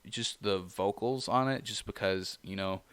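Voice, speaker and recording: A man co-hosting a podcast in casual chat, with a low voice, rambling and filling pauses with "you know". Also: fairly bright tone, smooth, no room sound. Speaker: podcast co-host.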